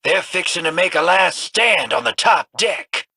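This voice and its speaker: A man's voice: high-pitched, animated, measured pace, pushed and bright, breathy edge, no room sound, heavily acted. An actor playing a cocky, roguish male space scavenger in a video game, drawling with a teasing manner. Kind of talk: video game character dialogue.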